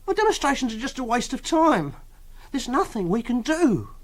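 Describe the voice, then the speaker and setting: An awkward young English man in a radio drama, brooding and stubborn. He speaks haltingly and defensively, his pitch jumping about as he evades and objects. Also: big pitch swings, heavily acted. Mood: stubborn, dismissive. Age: young adult.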